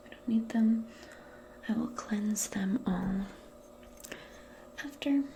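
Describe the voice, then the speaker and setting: A woman speaking softly and breathily, often in a whisper, in a gentle, hesitant ASMR roleplay as a modern witch. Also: medium pitch, a little hiss or hum, poor audio. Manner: gentle, unhurried, hesitant and improvised. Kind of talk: soft-spoken, whispered ASMR personal attention roleplay.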